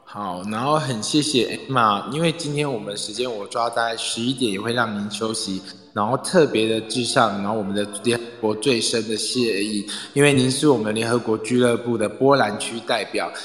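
A man's voice: lowish voice, a little fast, a bit bright, faint music behind. Echoey man hosting an online club. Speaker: club president and host. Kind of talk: casual closing thanks to an online audio room. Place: online group audio chat room. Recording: roomy, reverberant online voice-chat audio.

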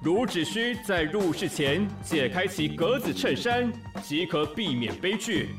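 A man's voice: high voice, expressive, unhurried, distant mic, music in the background. A man voicing personified Desire in an audio drama, a sly tempter with grandiose, archaic speech. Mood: seductive scheming.

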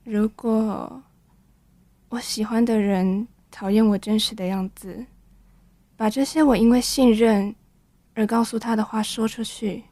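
A woman's voice: fairly high pitch, steady tone, measured pace, dark tone, dry recording. A woman playing a guarded teenage schoolgirl, dry close sound. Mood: hesitant, hurt. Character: guarded, wounded teenage schoolgirl who writes odd novels. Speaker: actress. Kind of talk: modern urban radio drama acting.

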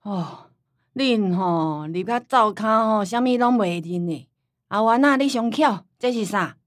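A woman's voice: medium pitch, animated, measured pace, no echo, lightly acted. A woman acting a stubborn old Taiwanese grandmother, dry recording. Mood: chiding, instructive. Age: older. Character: forgetful old grandmother, plain-spoken, stubborn, scolding. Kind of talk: radio drama acting.

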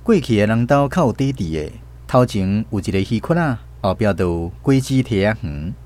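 A man's voice: fairly low pitch, animated, normal speed, a little mellow. A man with a Taiwanese accent giving scripted story narration as the narrator and host of a radio drama.

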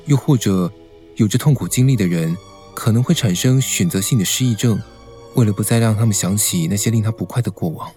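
Male actor as reserved, knowing young man for audio romance. Warm dark timbre, lower pitch, measured delivery. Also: soft background music, close to an everyday voice. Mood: serious, explaining. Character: calm, reserved, knowledgeable young male senior schoolmate. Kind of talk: Mandarin audio romance drama acting.

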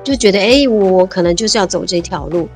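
Lady, 60s, chatting as a guest, thoughtful, laughs easy, mid pitch voice. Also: a little fast, soft background music. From Taiwan. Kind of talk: casual interview chat.

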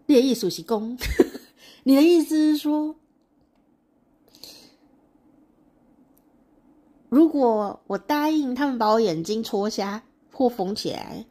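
A woman's voice: on the high side, a little mellow, slightly rough, pitched above the performer's own voice, exaggerated voice. A woman voicing an earnest, lovestruck young man who talks in insistent questions within her story.

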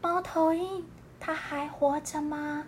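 A woman voicing a small nervous sea otter in a storybook, speaking high and soft. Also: fairly even intonation, takes it slowly, a little hiss or hum. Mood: timid.

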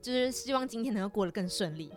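A young woman with a Taiwanese accent hosting a podcast in a chatty, expressive, casual way. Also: fairly high pitch, fast talker, faint music behind. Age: young adult. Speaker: podcast host. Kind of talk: casual chat.

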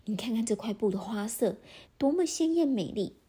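A woman voicing a sly, cheerful tall tailor who flatters and wheedles, in a children's story. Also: on the high side, normal speed, slightly rough. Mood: wheedling.

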